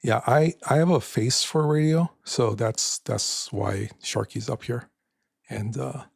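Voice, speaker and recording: An older man with a raspy voice hosting a live stream in casual chat, soft-spoken and halting with many fillers. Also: mid-range voice, dry recording, clean studio sound.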